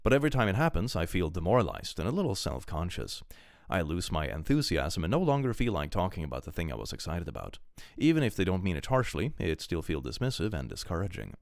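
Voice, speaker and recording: A young man streaming live with a powerful voice, reading posts aloud and chatting casually, often jumping into excited exclamations. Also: fast talker, vocal fry, dry recording, clean studio sound. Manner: animated, jumps into high excited exclamations.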